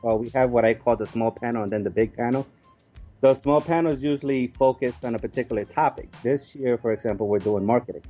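Mellow-voiced man explaining in an interview. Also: medium pitch, quick pace, soft background music. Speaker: music conference organizer, interview guest. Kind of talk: casual explanatory interview talk.

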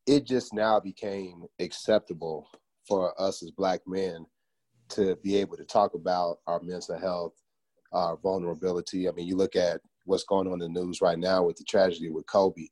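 A man's voice: low voice, fairly even intonation, normal speed, darkish tone. An American man hosting a conversational interview, reflecting thoughtfully and affirming his guest. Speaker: interviewer, book podcast host.

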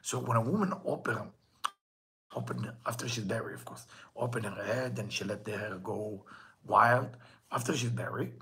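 Gravelly man with a Hebrew accent. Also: on the low side, normal speed, bright, forceful tone, slight background noise. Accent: Israeli Hebrew. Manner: earnest, rhetorical questions, switches into Hebrew quotes. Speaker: Kabbalah teacher. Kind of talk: weekly Torah lesson.

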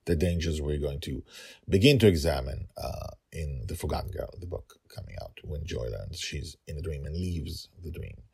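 A middle-aged man narrating a story podcast as its host and author, deliberate, with dramatic pauses and emphatic repetition. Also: rumbling low, animated, vocal fry, darkish tone, husky.

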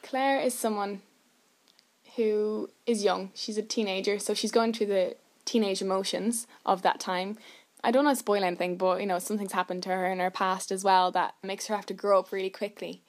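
A woman's voice: medium pitch, steady tone, normal speed. A young adult woman with an Irish accent, chatting casually as an actress being interviewed. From Ireland.

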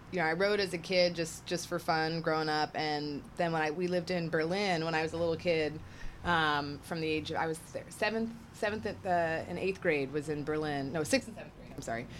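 A woman hosting a local radio show, friendly and a little flustered, with many fillers and quick apologies. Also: low voice, a little hiss or hum. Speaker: radio host, planning and policy director. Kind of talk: casual radio chat.

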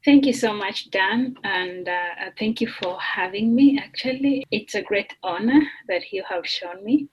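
A woman from Kenya, a doctoral student, talking slowly and thoughtfully with fillers as a guest on a remote podcast interview. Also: medium pitch, muffled recording, slight background noise. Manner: slow, thoughtful, with fillers and restarts. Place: remote call.

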